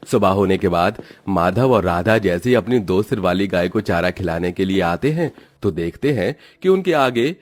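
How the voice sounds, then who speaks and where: A man narrating a cartoon moral story in a steady, dramatic storytelling style. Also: fairly low pitch.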